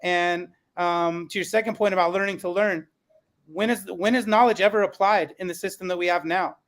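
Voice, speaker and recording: High-pitched earnest male moderator. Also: fairly even intonation, brisk, a bit bright. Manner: earnest, conversational. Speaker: podcast moderator and parent. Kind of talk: moderating a podcast panel.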